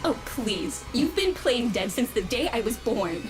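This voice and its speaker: An exasperated young daughter in a feuding family from a video game. Her high voice swings expressively and carries a sarcastic edge, with music underneath. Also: very animated, a little slow.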